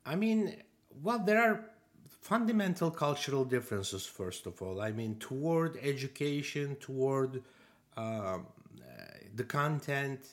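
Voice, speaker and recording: A man's voice: mid-range voice, expressive, a little slow. A man with a Turkish accent speaking in a casual interview as a course instructor, rambling with many fillers and restarts. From Turkey.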